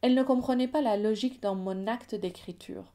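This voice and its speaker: A woman from Iran, Persian her first language, reads a calm, reflective voice-over narration. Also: a little high, a little fast.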